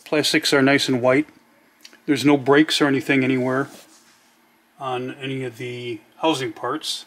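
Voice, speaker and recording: A man's voice: mid-range voice, little pitch movement, measured pace, a bit bright. A man narrating a casual product demonstration in a plainspoken, matter-of-fact way. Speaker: demonstrator of vintage electronics.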